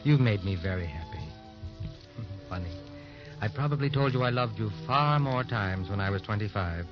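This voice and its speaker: An actor playing an affectionate, teasing, confident husband in an old radio drama, wry and mock-formal. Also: male voice, on the low side, animated, moderate pace, natural voice. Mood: loving, reassuring. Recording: dull old radio recording, music behind.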